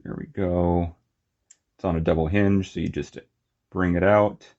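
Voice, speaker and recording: A man with a very low, crackly voice talks casually through a hands-on review. His delivery is unscripted and informal, with small muttered asides as he works. The sound is somewhat muffled and lo-fi. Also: steady tone, a little slow, darkish tone. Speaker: toy collector and reviewer.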